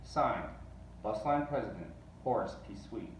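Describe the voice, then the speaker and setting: A man's voice: fairly low pitch, expressive, unhurried. A man voicing a formal, apologetic bus company president's notice in a children's storybook. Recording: distant mic, roomy echo, faint noise.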